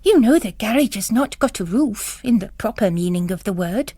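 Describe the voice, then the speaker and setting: A woman's voice: mid-range voice, lively intonation, moderate pace, mellow, slightly rough, no room sound. A woman voicing a pious, anxious, devoted female housekeeper, earnest and fretful, in a literary drama. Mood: anxious pleading.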